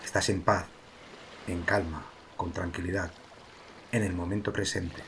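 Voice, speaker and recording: A man narrating a guided meditation as its guide, speaking calmly and soothingly in slow phrases with pauses. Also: low-pitched, slight background noise, poor audio. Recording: ocean waves behind the voice. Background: sea waves, water ambience.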